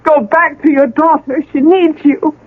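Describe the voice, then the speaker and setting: A woman's voice: high voice, fairly even intonation, measured pace. An actress playing a frantic, protective woman in a radio drama, pleading and hesitant as she takes charge. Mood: urgent, pleading. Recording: tinny, phone-like old radio broadcast.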